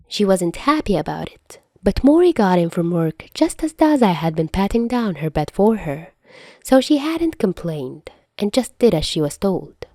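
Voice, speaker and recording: A woman reading fan fiction aloud as narrator, in an expressive audiobook style. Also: medium pitch, moderate pace, dry recording, clean studio sound.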